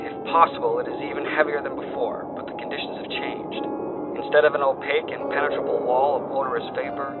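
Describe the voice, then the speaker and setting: An actor playing a doomed wire operator who reports horrors in solemn, theatrical, formal phrases through a radio filter, in a horror drama. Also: male voice, medium pitch. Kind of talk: dramatic horror story dispatch reading. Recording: thin phone-like radio band. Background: music.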